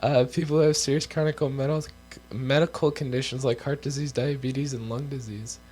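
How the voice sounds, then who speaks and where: A man's voice: medium pitch, fairly even intonation, fairly quick, a little mellow, a little hiss or hum, poor audio. A young man from the United States on a casual co-hosted show, reading health guidelines aloud with offhand joking asides, and he is losing his voice. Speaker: co-host.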